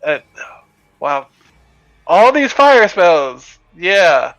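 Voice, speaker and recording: A man's voice: high voice, big pitch swings, very slow, a bit bright, low-quality recording. A man playing a tabletop game over voice chat, talking casually with many fillers and hesitations. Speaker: tabletop player.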